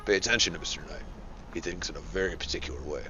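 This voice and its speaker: An actor playing a gruff, sardonic veteran police detective. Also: male voice, low voice, very fast, bright, forceful tone, muffled recording, faint music behind. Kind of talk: amateur motion comic voice acting.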